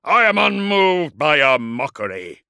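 Huge angry war machine knight, audiobook style, booming loud n slow, super proud. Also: male voice, lively intonation, no room sound, clean studio sound, heavily acted. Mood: cold menace. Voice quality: booming, roaring. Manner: slow, declamatory, indignant. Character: ancient armoured warrior in a dreadnought war machine, wrathful and proud. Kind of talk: audiobook character acting.

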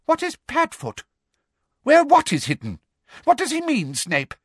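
A man voicing a prim, cruel middle-aged woman official in a story, with a breathy, agitated, self-justifying manner. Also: high-pitched, sing-song, unhurried, no room sound, clean studio sound, pitched above the performer's own voice, heavily acted. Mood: eager, puzzled.

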